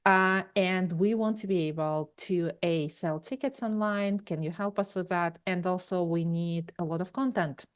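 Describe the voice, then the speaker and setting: A woman's voice: lowish voice, steady tone, moderate pace, few pauses, smooth. A woman in her forties with a Ukrainian accent, a podcast guest telling her career story in long, rambling answers full of fillers and restarts. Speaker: guest, tech company founder. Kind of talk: conversational interview answers.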